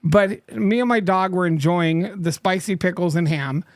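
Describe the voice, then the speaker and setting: A man's voice: high-pitched, moderate pace, dry recording, studio quality. A man in his fifties co-hosting a casual podcast, joking and teasing his co-host in rambling banter.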